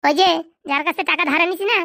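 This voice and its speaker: A performer with a high voice playing a cheeky, brash schoolboy in a Bengali comedy cartoon, with a colloquial Bengali accent. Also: quick pace, bright, forceful tone, no room sound, studio quality. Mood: brash, excited.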